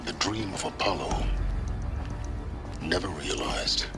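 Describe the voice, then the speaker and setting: Cryptic male guide, very low, unhurried, grave, terse, game drama, music behind. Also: lively intonation, heavily acted. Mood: grave, knowing. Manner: slow, terse, deliberate. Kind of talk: video game dramatic acting.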